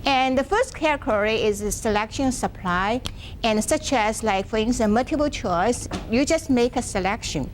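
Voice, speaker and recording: A soft-spoken woman presenting a university workshop, speaking haltingly with restarts, seated in a classroom without a microphone. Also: fairly high pitch, lively intonation, slight background noise. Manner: halting, frequent restarts and fillers. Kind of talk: academic workshop lecture. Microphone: no microphone, room sound.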